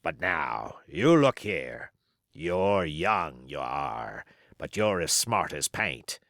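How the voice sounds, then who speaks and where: Man performing a wily pirate cook, fifties, low pitch, swooping intonation, salty, boastful, storybook villain. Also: sing-song, slow pace, vocal fry, a bit bright, no room sound, clean studio sound, heavily acted. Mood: flattering, confiding. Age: 50s. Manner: salty, rambling, folksy boasting. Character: wily fifty-year-old one-legged pirate sea cook, boastful and flattering.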